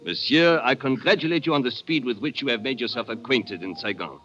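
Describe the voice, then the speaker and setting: Formal, reserved Frenchman played by a male actor, mid-pitched and precise, French accent, dull 1950s radio sound. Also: sharp tone, soft background music, natural voice. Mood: suave, probing. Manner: formal, measured, precise phrasing. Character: courteous, formal, reserved French man in colonial Saigon. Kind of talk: 1950s radio drama acting. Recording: dull vintage radio drama recording.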